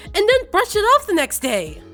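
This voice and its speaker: An exasperated young woman, acted by a female voice actor in an amateur audio roleplay. Her voice is high and swings widely as she teases and scolds in disbelief, with music behind her. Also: huge pitch swings, normal speed, fairly bright tone, exaggerated voice. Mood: incredulous. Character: exasperated young female coworker friend, teasing and incredulous.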